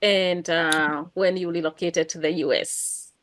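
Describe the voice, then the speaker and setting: A woman's voice: fairly low pitch, fairly even intonation, unhurried, slight background noise. A woman hosting a casual, conversational interview. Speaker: interview host.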